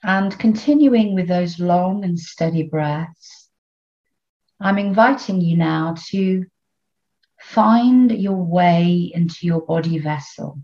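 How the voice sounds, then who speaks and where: A woman leading a guided meditation in a soothing, unhurried way, leaving long pauses between short phrases. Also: fairly low pitch, dark tone, lo-fi sound.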